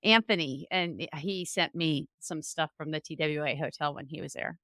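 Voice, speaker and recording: A woman's voice: deep voice, moderate pace, bright, forceful tone, dry recording, clean studio sound. A woman co-hosting a podcast, chatting in a friendly way with short remarks. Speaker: podcast co-host. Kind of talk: casual chat.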